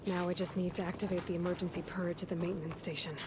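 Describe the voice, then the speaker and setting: A woman voicing a determined young hunter in a video game, muttering to herself in a hushed voice. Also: fairly low pitch, flat delivery, fast talker, background noise, natural voice. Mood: focused.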